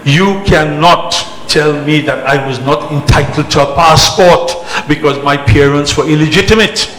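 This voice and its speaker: Emphatic male orator, bright voice, echoing hall. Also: a little high. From born in Trinidad, spent early life in England. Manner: emphatic, deliberate oratory with short phrases and repetition. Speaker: religious organisation leader giving a speech. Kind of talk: public speech to a community gathering. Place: temple celebration, amplified to an audience. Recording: echoey hall PA, noisy background.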